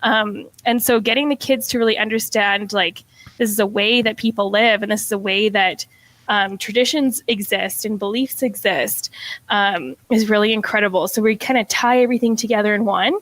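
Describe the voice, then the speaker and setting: A woman's voice: mid-range voice, fairly even intonation, normal speed, a bit bright, rough recording. A young woman in her twenties, a middle school teacher, chatting as a podcast guest, with lots of "like" and "um" and easy laughter. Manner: chatty, fills talk with "like" and "um", laughs often. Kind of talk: casual interview chat.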